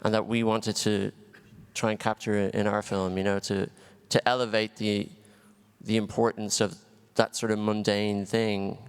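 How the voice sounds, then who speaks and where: A man's voice: lowish voice, flat delivery, moderate pace, clear, resonant tone. A man from Dublin with an Irish accent, a film director answering questions on a festival panel in a thoughtful, restarting way. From Ireland, Dublin. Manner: thoughtful, with frequent restarts and ums. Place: festival venue hall. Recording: long hall reverb.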